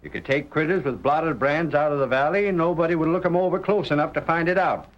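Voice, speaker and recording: An actor playing a grave, stubborn sheriff in an old-time radio Western, earnest and folksy, with an American Western drawl. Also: male voice, a little high, fast talker, natural voice. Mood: stern. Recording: dull, noisy vintage radio recording.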